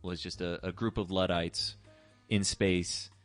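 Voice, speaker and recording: A man's voice: low voice, little pitch movement, a little slow, a bit bright, soft background music. A man talking casually about film as a podcast co-host.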